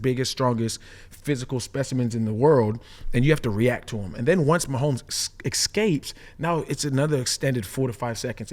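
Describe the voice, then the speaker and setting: Brief: male ex-player analyst, mid pitch, rapid explainer, keeps asking "right?". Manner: fast, explanatory, frequent "right?" tags. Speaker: former pro safety, co-host analyst. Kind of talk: casual sports chat.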